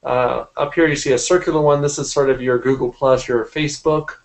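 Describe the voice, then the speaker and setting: A man's voice: mid-range voice, fairly even intonation, fairly quick. A man in his forties, a graphic artist, casually explaining his work in an interview over a video call from a room at home. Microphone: computer mic on a video call.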